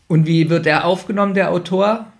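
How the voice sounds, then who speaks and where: A man's voice: high voice, steady tone, brisk, vocal fry. A man chatting casually as a podcast co-host reviewing radio plays.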